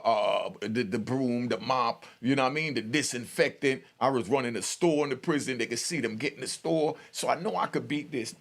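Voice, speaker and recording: Gravelly middle-aged man, streetwise storyteller. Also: mid-range voice. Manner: streetwise, slangy, conversational, checks in with listener. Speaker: YouTube storyteller and commentator. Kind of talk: casual spoken prison storytelling and commentary.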